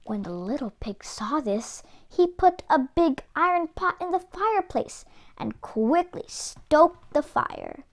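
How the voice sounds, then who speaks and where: Lady doing kids read aloud, really animated, higher voice, takes her time. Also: takes it slowly, vocal fry. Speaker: children's book reader. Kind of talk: expressive storybook read-aloud narration.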